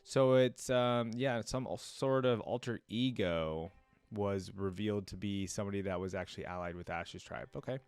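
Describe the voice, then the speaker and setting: A man's voice: lowish voice, normal speed, darkish tone, smooth, dry recording, soft background music. A man hosting a reaction video, chatting casually to viewers, thinking aloud and reading wiki text out as he goes. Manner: conversational, thinking aloud, often musing to viewers.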